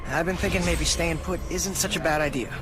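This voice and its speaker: A man's voice: on the high side, fairly quick, natural voice. An actor playing a sarcastic, exasperated young American superhero who quips his way through a video game cutscene. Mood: wry. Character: wisecracking, weary young male superhero. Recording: loud game music behind. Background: game music.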